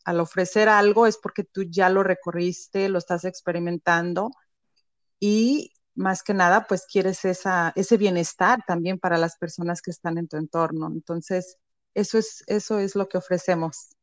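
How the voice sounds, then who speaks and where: A Mexican woman with a lower voice talks through her story as a guest on an interview. She speaks reflectively, often pausing on "eh" and ending thoughts with a questioning tag. The sound is dry and close. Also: steady tone, dry recording. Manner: reflective, with frequent "eh" and "¿no?" tags. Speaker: business founder, guest. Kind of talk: conversational interview.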